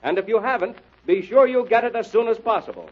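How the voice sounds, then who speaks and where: A man playing an excitable radio announcer, delivering punchy scripted lines for a mystery contest show. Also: fast. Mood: excited. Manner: excited, punchy sales pitch. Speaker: announcer and narrator. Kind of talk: old-time radio announcing, scripted narration. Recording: dull old radio recording, music behind.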